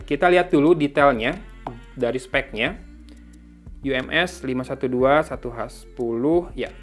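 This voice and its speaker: A man's voice: fairly high pitch, a bit bright. A man giving a casual, informal tech review, thinking aloud as he reads out specifications. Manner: informal, thinking aloud while reading out specs. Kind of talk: casual unboxing and review commentary.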